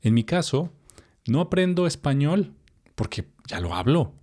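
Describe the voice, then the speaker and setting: A young man from Colombia with a Colombian Spanish accent, a Spanish teacher reading a text for learners in a relaxed, chatty way, with fillers and asides to the viewer. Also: a little high, measured pace.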